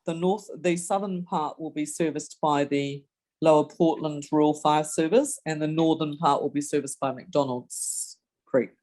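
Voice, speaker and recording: A woman's voice: deep voice, steady tone, moderate pace, dark tone, rough recording. A woman from New Zealand with a slight New Zealand accent, a resident on a video call pressing officials with pointed questions at a community meeting. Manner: direct, pressing follow-up questions.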